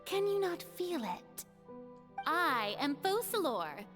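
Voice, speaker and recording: An actress playing a regal, theatrical young goddess in a video game, with formal, elegant diction. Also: female voice, very high voice, big pitch swings, slow pace, heavily acted. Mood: haughty, flustered. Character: theatrical goddess, regal, solemn yet grandiose young woman. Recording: game dialogue under loud music.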